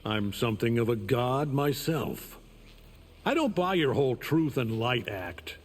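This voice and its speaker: An actor playing an arrogant, menacing, godlike conqueror in a video game, with a contemptuous, commanding delivery. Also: male voice, medium pitch, animated, a little slow, slightly rough. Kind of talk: video game character acting.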